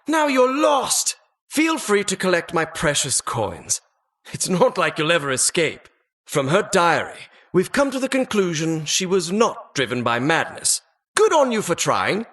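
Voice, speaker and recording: A man's voice: high-pitched, very animated, unhurried, fairly bright tone, full character voice. A man voicing a talking dungeon as a pompous, mock-polite, sardonic butler-like host in a video game. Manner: pompous, mock-polite, arch formal phrasing. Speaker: game announcer. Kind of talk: theatrical video game voice-over.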